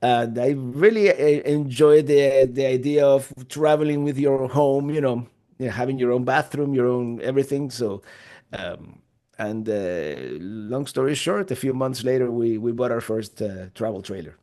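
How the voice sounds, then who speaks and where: A man in his fifties from Cuba, a guest answering interview questions in a relaxed, rambling way with many restarts. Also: mid-range voice, a little slow.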